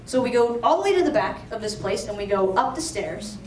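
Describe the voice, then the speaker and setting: A woman's voice: a little high, sing-song, a little fast, darkish tone, recorded from a distance, slight background noise. A young woman from Virginia telling a true story to a live audience, chatty and conversational. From Alexandria, Virginia, USA. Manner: chatty and conversational, full of "like" and "you know". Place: live storytelling event in a roomy venue. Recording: roomy live venue, voice from off-mic.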